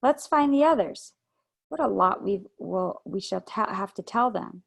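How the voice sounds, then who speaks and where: A woman's voice: mid-range voice, lively intonation, unhurried, creaky. A woman reading aloud over a video call, voicing an eager young girl who gushes breathlessly. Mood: excited. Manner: breathless, gushing.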